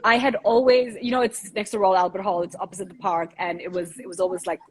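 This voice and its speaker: A woman's voice: mid-range voice, steady tone, quick pace, a little hiss or hum. A woman from Singapore in her thirties, a curator and writer, answering interview questions in an enthusiastic, fluent way with frequent ums.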